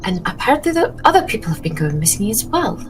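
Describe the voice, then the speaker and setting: A woman voicing a nervous, easily affronted genteel lady with halting, formal, old-fashioned speech in a tabletop roleplaying game. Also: medium pitch, big pitch swings, background noise, natural voice. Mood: nervous, shaken. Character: shaken, nervous, proudly indignant genteel lady. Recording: music playing behind the voice.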